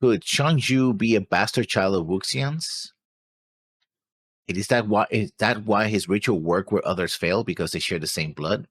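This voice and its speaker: A man's voice: fairly low pitch, moderate pace, no echo. A man thinking aloud in a casual discussion, hesitant and full of theories. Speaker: anime reaction show co-host.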